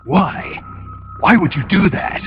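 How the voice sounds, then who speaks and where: An actor with an American accent playing a gruff, hard-bitten, defiant soldier hero in a video game cutscene, with a gravelly voice. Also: male voice, high voice, lively intonation, slow pace, heavily acted. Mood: alarmed. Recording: muffled game audio under loud music.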